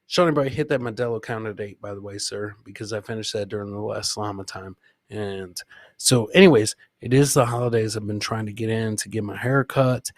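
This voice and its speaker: A man's voice: fairly low pitch, dry recording, clean studio sound. A man hosting a live comedy show, riffing casually on clips in a rambling, profane, jokey way.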